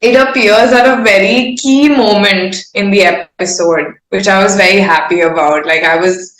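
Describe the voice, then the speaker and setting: A woman's voice: medium pitch, fairly even intonation, normal speed, a bit bright. A woman with an Indian accent chats casually and candidly as an interviewed singer, rambling in an informal, self-aware way. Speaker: singer-songwriter being interviewed. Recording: roomy echo.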